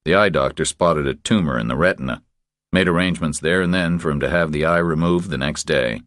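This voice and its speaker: A man's voice: very deep voice, a little fast, a bit bright, no room sound, studio quality. A man reading a crime thriller audiobook as scripted narration.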